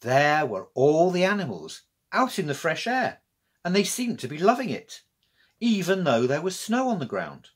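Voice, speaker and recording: A middle-aged male storyteller reads for children with deliberate expression. His pitch rises and falls widely, and his voice has a slightly husky, unpolished edge. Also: wildly swooping pitch. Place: small room with bookshelves, indoors.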